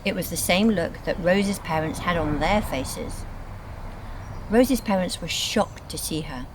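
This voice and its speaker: A woman reads scripted storybook narration in an expressive, dramatic storytelling manner. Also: lowish voice, measured pace, background noise. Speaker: reader of a children's book.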